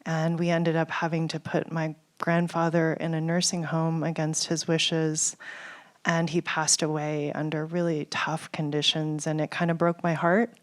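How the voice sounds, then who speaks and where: A woman speaking on a festival panel as a caregiving advocate, measured and addressing the audience. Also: low-pitched, level tone. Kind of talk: panel talk.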